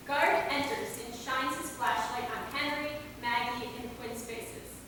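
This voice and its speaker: A woman's voice: on the high side, fairly even intonation, measured pace. A woman narrating scripted stage directions at a staged reading. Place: theater hall, mic at a distance. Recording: echoey hall, distant, noisy.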